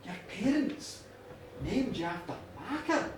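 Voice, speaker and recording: A woman's voice: mid-range voice, sing-song, unhurried, voice pushed down. A woman voicing a smitten, astonished widowed farmer in an oral tale, hesitant and stammering. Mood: puzzled. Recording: echoey room, distant mic, faint noise.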